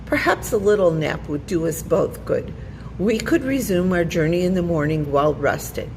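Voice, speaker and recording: A woman voicing a sly, smooth-talking male fox villain in a children's storybook, with a coaxing manner. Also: fairly low pitch, expressive, normal speed, darkish tone, big-room sound, slight background noise, rough recording. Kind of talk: children's storybook character reading.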